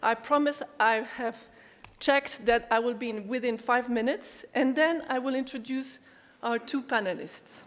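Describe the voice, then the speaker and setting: A woman moderating a conference round table, speaking in halting with frequent fillers and restarts. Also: on the high side, a bit bright. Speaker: panel moderator. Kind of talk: conference talk, prepared remarks. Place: large conference hall. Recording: echoey hall with long reverb.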